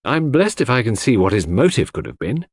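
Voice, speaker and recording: Man acting a blunt English country gentleman, husky and animated. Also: medium pitch, fairly quick, no echo, studio quality. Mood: conceding. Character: puzzled, sceptical English country gentleman, frank and hearty.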